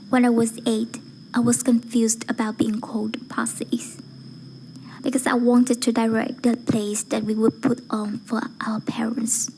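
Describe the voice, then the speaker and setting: A woman with a breathy, whispery voice reciting a formal speech in a measured, deliberate way, phrase by phrase. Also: on the high side, darkish tone, slight background noise, rough recording. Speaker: amateur voice-acting student reciting a speech.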